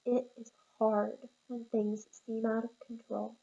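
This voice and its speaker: A woman reading as a patient storybook teacher, speaking slow and soothing in a mellow, fairly high voice. The recording is a little dull and lo-fi. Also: little pitch movement, creaky, heavily acted. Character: calm, patient female teacher.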